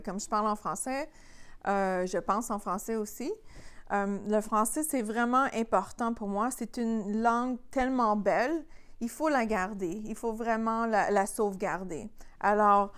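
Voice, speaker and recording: A woman with a Québécois French accent, speaking as an interview guest in an informal, halting conversational way. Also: medium pitch, clear, resonant tone. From Quebec, Canada. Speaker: singer-songwriter, interview guest.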